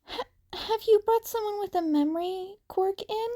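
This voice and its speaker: A woman voicing a nervous teenage boy vigilante in a story, halting and stammering as he talks. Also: very high-pitched, mellow, voice pushed up. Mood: anxious questioning.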